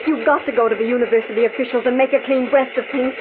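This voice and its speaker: A woman's voice: fairly high pitch, steady tone, very fast, noisy background, close to an everyday voice. A woman playing an anxious, conscientious confidante in a 1950s radio drama, earnest and urgent. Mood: alarmed, pleading. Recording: tinny, band-limited old radio recording.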